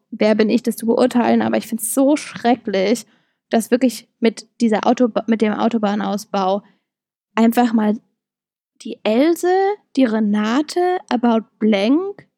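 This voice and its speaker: A woman's voice: a little high, dark tone, dry recording, studio quality. A young woman with a Berlin accent co-hosting a podcast, talking casually with frequent fillers, restarts and laughs. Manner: chatty, many fillers and restarts, laughs often. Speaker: podcast co-host.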